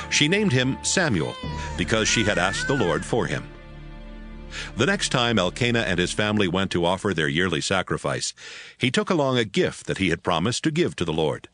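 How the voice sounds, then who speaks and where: Deep, expressive male narrator. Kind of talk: scripted narration of dramatized scripture.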